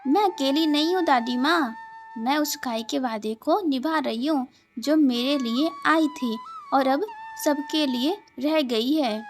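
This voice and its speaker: A woman's voice: sits high, slow pace, faint music behind. A woman voicing a humble, kind-hearted young village girl in a cartoon story, speaking pleadingly and modestly.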